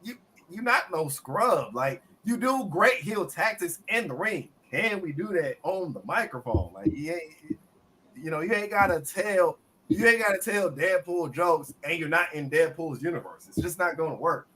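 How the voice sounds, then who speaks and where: A man with a slightly raspy voice, co-hosting a casual wrestling review and talking in animated bursts with lots of repeated words. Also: fairly high pitch, normal speed, poor audio. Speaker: podcast co-host.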